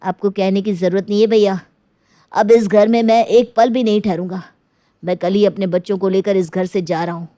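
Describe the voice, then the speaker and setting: A woman's voice: medium pitch, fairly even intonation, normal speed, mellow, dry recording, lightly acted. A woman voicing an aggrieved grown son in a moral story, proud, bitter and insistently complaining. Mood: angry, resolute.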